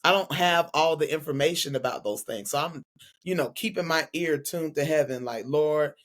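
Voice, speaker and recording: A woman's voice: deep voice, normal speed. A woman preacher and guest speaker in a casual faith-centered conversation, talking expressively with laughs and affectionate asides. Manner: expressive, laughing, calls friend "girl" and "honey".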